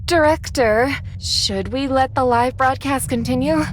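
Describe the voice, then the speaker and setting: A woman's voice: sits high, a little slow, noisy background. An actress playing an earnest female broadcast journalist in a game cutscene. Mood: alarmed. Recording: very loud music under the voice.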